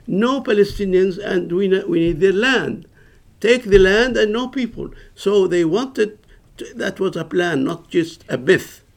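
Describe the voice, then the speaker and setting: Older man, impassioned and gravelly lecturer. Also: sits high. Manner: earnest, emphatic, rising to passion. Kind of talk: lecture and Q&A answers.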